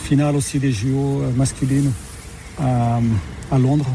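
Middle-aged man from Portugal, tennis referee interviewee, halting delivery full of fillers, dark mellow tone, faint background noise. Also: medium pitch, level tone, vocal fry. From Portugal, born in Mozambique, raised in Lisbon. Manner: hesitant, many fillers and restarts. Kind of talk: radio interview, recounting career.

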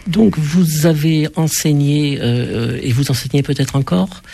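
Radio host guy, gravelly raspy voice, polite formal questions, lots of euhs. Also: a little high, warm tone. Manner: measured, formal questioning with fillers. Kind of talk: radio interview.

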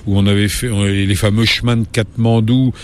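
A man in his late sixties, a travel reporter, answering interview questions in a relaxed way, pausing often with fillers and restarting his sentences. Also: fairly low pitch, smooth. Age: 60s. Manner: hesitant, many fillers and restarts.

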